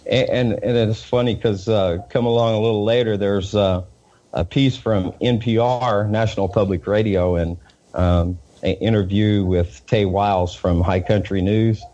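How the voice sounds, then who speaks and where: A man with a Southern US accent, a reporter being interviewed, talking in a halting way with many fillers and repeated words. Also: lowish voice, steady tone, mellow, muffled recording. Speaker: independent news reporter, guest. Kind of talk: casual interview talk.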